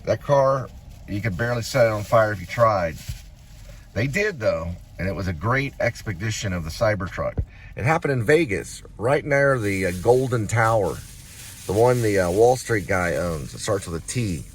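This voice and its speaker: A man's voice: on the low side, lively intonation, moderate pace. A man chatting casually and jokily in a car, rambling and drifting from one thought to the next. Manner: jokey, digressive, trailing off mid-thought. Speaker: vlog host. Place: inside a car. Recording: loud music, car noise behind voice. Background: loud music and vehicle sounds.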